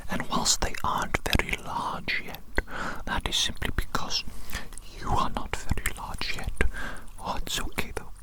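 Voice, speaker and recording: A performer voicing a doting evil doctor in a breathy whisper, cooing slow, repetitive praise in an ASMR medical roleplay. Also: lightly acted. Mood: soothing, clinical. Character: doting evil doctor growing a tiny creature.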